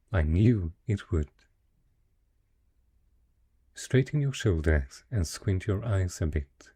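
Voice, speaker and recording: A man playing a wealthy dragon in human guise, a possessive, teasing merchant patron who coaxes the listener slowly and courteously in an intimate fantasy romance roleplay. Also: low-pitched, mellow, husky, full character voice. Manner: slow, teasing, courtly, coaxing.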